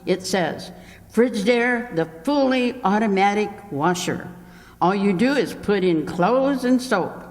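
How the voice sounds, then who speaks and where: A man's voice: sits high, expressive, unhurried. A man playing an enthusiastic, wordy appliance salesman, emphatic as an advertisement, in an old-time radio comedy. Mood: enthusiastic pitching. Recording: echoey hall, long reverb.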